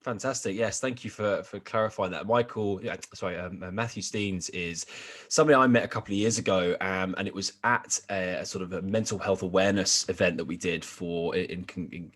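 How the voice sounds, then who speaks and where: A man hosting a podcast interview, asking friendly, rambling questions. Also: sits low. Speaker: podcast host.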